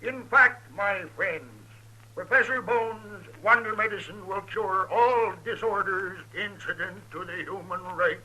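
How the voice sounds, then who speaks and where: An actor playing a bombastic, smooth-talking quack professor with a grandiose oratorical sales pitch in a 1950s radio western. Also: male voice, a little slow, pushed and bright, full character voice. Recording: noisy old radio show recording.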